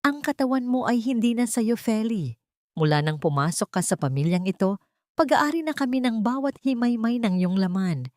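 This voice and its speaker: A woman's voice: a little high, expressive, normal speed, a little mellow, no echo, studio quality, pitched above the performer's own voice, natural voice. Lady reading as a mean rich old mother-in-law, sweet but threatening, audio drama story. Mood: cold, threatening. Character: cruel, manipulative old wealthy matriarch mother-in-law.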